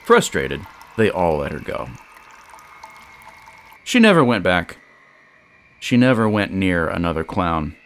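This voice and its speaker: Male story narrator: unhurried, theatrical delivery with dramatic pauses and lively melodic swings. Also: low voice. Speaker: storyteller and show creator.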